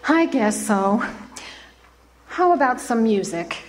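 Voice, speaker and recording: A woman's voice: on the high side, sing-song, slow, a little hiss or hum. An actress playing a teasing young woman in love, speaking coyly in a live amateur radio drama.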